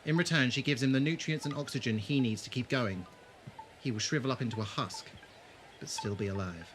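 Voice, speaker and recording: An actor playing a grave, resolute adventurer in an audio drama, explaining things deliberately and intensely. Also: male voice, mid-range voice, lightly acted. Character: grave, resolute adventurer leading his companions. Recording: clearly noisy background ambience.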